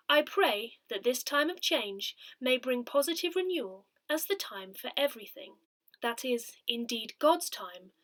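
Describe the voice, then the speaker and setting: Bright, high-pitched woman reading aloud. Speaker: volunteer reader of an audio newsletter. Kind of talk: reading aloud a church newsletter.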